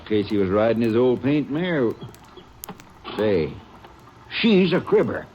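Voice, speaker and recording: An actor playing a gruff small-town male sheriff with a folksy drawl and dry humor, in an old radio drama recording. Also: mid-range voice, very animated, slow, muffled recording, slight background noise. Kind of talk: 1950s radio drama acting.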